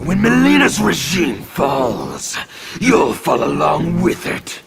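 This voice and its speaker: An actor playing an angry, fanatical anarchist revolutionary in a video game, with a gravelly, menacing voice and grandiose delivery. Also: male voice, on the high side, wildly swooping pitch, takes it slowly, a loud crowd, exaggerated voice. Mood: grim, threatening. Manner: theatrical, grandiose declarations. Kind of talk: video game character dialogue.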